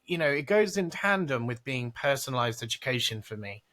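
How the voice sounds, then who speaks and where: A man's voice: mid-range voice, animated, fairly quick, bright, forceful tone. A man co-hosting a podcast, talking emphatically and conversationally as he makes his points. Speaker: podcast co-host.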